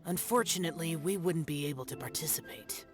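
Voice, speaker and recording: An actor playing a cold, aloof guardian warrior in a video game, speaking curtly. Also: male voice, a little high, a little fast, over music, natural voice. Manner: curt and distant.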